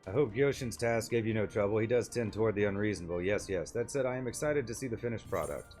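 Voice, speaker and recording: A man's voice: lowish voice, fast talker, darkish tone, faint music behind, natural voice. A man voicing a small, eager catfish creature from a game, fussy, formal and wordy. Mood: proud. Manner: formal, repeats itself in synonyms.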